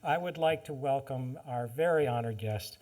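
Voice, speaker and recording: A man hosting a public forum, giving a formal spoken introduction in a halting manner with restarts. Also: mid-range voice, a little mellow. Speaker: event host introducing a speaker. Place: public lecture forum with an audience.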